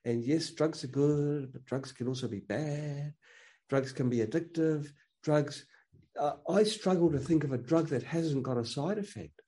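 Mellow-voiced man, earnest and opinionated. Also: fairly high pitch, unhurried. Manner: earnest, opinionated, frequent restarts. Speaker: guest. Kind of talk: casual conversational interview.

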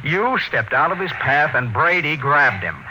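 An actor playing a weary, probing space expedition captain who narrates gravely and dramatically in a classic radio drama. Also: male voice, a little high, big pitch swings, unhurried, background noise. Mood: probing, intent. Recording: old radio recording with music behind.

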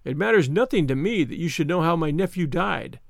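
A man's voice: on the high side, animated, a little fast, vocal fry, dry recording, studio quality. A man voicing a smug, cruel villain who taunts and sneers in a dramatized audiobook reading. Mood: cruel, taunting. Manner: taunting, insistent, mock-concerned.

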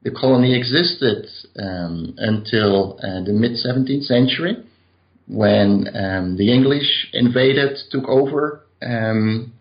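A man with a Dutch accent, a professor and guest, explaining history in a measured way with many pauses. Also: medium pitch, dull audio.

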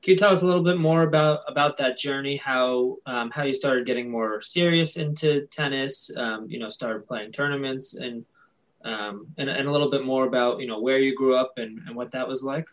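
A man's voice: mid-range voice, steady tone, normal speed, dull audio. A man hosting an interview, welcoming a guest and asking friendly questions with many fillers. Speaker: podcast host.

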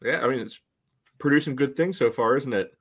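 A man's voice: mid-range voice, fairly quick, breathy edge. A man co-hosting a sports podcast in casual, conversational, informal talk.

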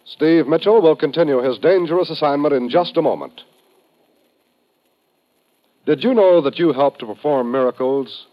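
A man's voice: on the high side, expressive, moderate pace, long pauses. A man announcing on 1950s radio, reading a script in a formal, earnest manner. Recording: muffled 1950s radio recording.

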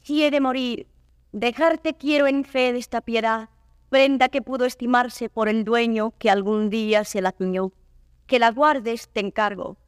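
A woman plays a noble, wandering avenger in classical verse drama, with a fairly high voice and dry sound. She declaims solemnly and courteously, full of proud sorrow. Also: clean tone, no echo, close to an everyday voice. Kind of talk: classical Spanish verse stage acting.

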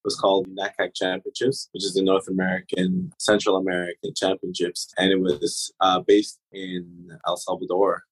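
Low-voiced man telling travel stories. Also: moderate pace, hardly any pauses, husky, rough recording. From Turks and Caicos. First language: German. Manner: relaxed, many fillers and restarts, laughs while talking. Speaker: interview guest. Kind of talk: casual interview storytelling.

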